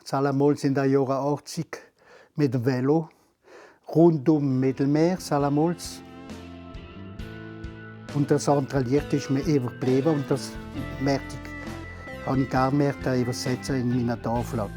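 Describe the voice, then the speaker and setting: A man, a painter, talking haltingly in an interview and searching for words. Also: mid-range voice, steady tone, over music. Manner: hesitant, searching for words, mixes in French terms.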